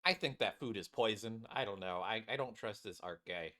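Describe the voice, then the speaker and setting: A man's voice: on the low side, a little fast, pushed and bright. A man giving casual, mumbled, offhand commentary while streaming a game. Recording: game music playing behind.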